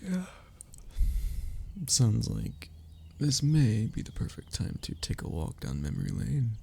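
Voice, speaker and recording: A man's voice: medium pitch, wildly swooping pitch, a little slow, gravelly, warm tone, husky, exaggerated voice. A man playing a sentimental, teasing young man in an ASMR roleplay, talking intimately and conversationally to a childhood best friend. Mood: playful teasing. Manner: intimate, conversational, with sighs and chuckles. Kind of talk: ASMR roleplay audio drama.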